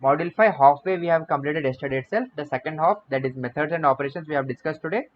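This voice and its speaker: A man's voice: fairly high pitch, quick pace. A young man, teaching an online coding class and often repeating and rephrasing his points. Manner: explanatory, repeats and rephrases himself. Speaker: coding bootcamp instructor. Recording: slightly dull audio with faint noise.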